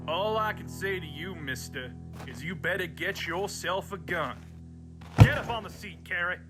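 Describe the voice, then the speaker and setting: A man in a Western radio drama playing a jealous, hot-tempered brother who talks gruff, confrontational cowboy talk. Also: high-pitched, normal speed, music in the background, close to an everyday voice. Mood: angry, threatening.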